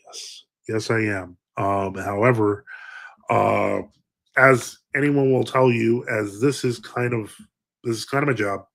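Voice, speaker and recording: A man's voice: lowish voice, slow pace, vocal fry, a bit bright. A man, addressed as a priest, hosting a live stream, reading chat comments aloud and answering them with frequent fillers and restarts. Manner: hesitant, many fillers and restarts.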